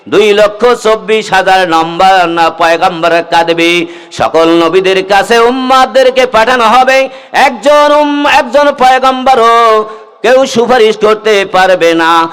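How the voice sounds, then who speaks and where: A man's voice: high-pitched, a bit bright, clear, resonant tone. A man with a Bangladeshi accent preaching an Islamic sermon, exhorting his listeners and moving between speech and melodic chanting. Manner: exhortative, calls on listeners to respond. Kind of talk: waz sermon to a gathering, with melodic chanting of verses and rhymes.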